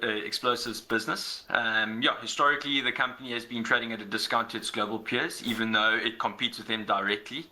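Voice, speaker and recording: A man as an investment analyst guest in a business TV interview, speaking in a measured, analytical way. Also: medium pitch, bright, forceful tone, low-quality recording.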